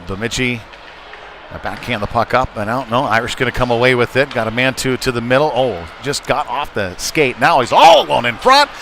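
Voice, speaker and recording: A middle-aged or older man doing live ice hockey play-by-play in a conversational, halting way with short clipped phrases. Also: medium pitch, animated, vocal fry, a bit bright. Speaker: play-by-play commentator. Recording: noisy live arena sound. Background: rink noise and ambience.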